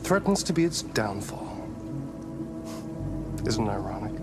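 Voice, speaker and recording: An actor playing a calm, provocative male genius inventor in a game cutscene, speaking in a languid, philosophical way with soft taunts. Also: fairly low pitch, unhurried, over music. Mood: musing. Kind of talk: video game cutscene acting.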